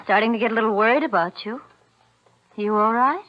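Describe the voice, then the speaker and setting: Lady playing a worried young gal, high voice, emotional, pleading, muffled old radio drama. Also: fairly quick, natural voice. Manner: earnest, emotional. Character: anxious, pleading young girlfriend of a crook. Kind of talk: 1940s radio thriller acting. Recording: dull vintage radio recording.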